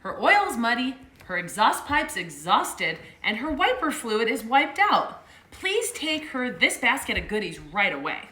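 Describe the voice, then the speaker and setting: A woman's voice: a little high, sing-song, unhurried, bright, forceful tone, echoey room, heavily acted. A woman voicing a caring mother car. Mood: concerned.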